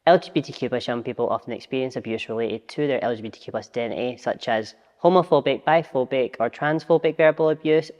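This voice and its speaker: A young man presents scripted training material in a mid-pitched voice. The space around him is reverberant, leaving a long tail on his words. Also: quick pace, hardly any pauses, a little mellow, dull audio. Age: young adult. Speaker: youth presenter in a training film.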